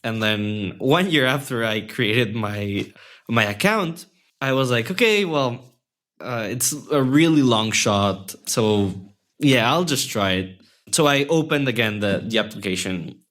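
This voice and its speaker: A young man whose first language is Spanish talks as a guest in a relaxed interview. He stays friendly and thoughtful, often restarting his sentences as he works through an idea. His pitch sits right in the middle for a man. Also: unhurried. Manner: friendly and reflective, with many restarts and fillers. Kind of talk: casual interview conversation.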